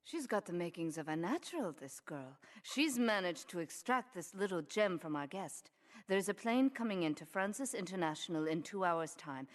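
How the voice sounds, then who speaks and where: A woman's voice: fairly low pitch, expressive, normal speed, natural voice. An actress playing a cool, ruthless female crime boss in a video game, poised and matter-of-fact about violence. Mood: casually cruel. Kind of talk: scripted video game cutscene acting.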